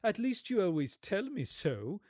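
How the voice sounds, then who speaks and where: A man's voice: sits high, sing-song, unhurried, a little mellow, no room sound, clean studio sound, voice pushed up, heavily acted. A man voicing an indignant, impatient young wife with a pointed manner in a storybook reading.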